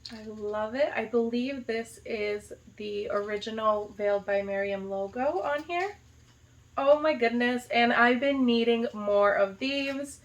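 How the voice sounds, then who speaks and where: A young woman from the United States excitedly unboxing a gift box in a casual vlog, gushing and dropping lots of "like". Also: fairly high pitch, measured pace, muffled recording. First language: not Arabic. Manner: gushing, enthusiastic, lots of "like" and "so cute". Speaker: vlogger, high school teacher.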